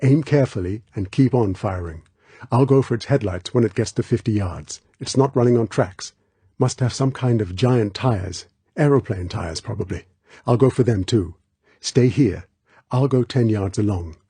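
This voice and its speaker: A man voicing a tough, protective action hero in an audiobook, with a clipped, low-key, commanding manner. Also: moderate pace, warm tone, breathy edge, no room sound. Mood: brisk, resolute.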